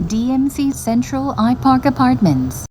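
A woman reading scripted bus stop announcements in a formal, measured, carefully enunciated way. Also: high voice, sing-song. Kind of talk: scripted public transit announcement. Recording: dull, noisy bus speaker capture. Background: bus engine and cabin noise.

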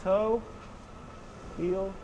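A man in his thirties coaching beginners through snowboard moves in short, calm cues. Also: sits high, very slow, lo-fi sound, a little hiss or hum. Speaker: snowboard instructor. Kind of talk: step-by-step instructional demonstration. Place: indoor training slope under a roof.

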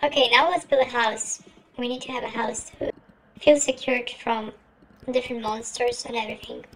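A man's voice: fairly even intonation, normal speed, a bit bright, low-quality recording. A young man voicing a little girl through a real-time voice changer, giving casual game commentary. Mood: playful. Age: young adult. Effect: real-time pitch-raising voice changer.

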